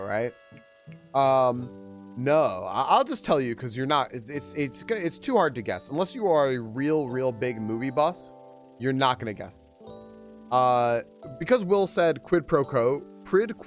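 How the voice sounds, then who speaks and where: A man talking loosely to his stream chat during a game, his voice pitched at an everyday middle. His thoughts wander and restart often, and he springs higher to greet viewers. Soft game music plays quietly behind him. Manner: rambling, with many restarts and trailing thoughts. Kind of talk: casual live-stream chat while gaming.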